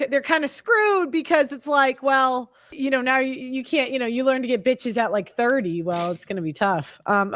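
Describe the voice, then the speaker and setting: A woman's voice: fairly high pitch, dry recording, clean studio sound. A woman giving emphatic, mocking commentary on a casual livestream. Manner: emphatic and mocking, repeats herself.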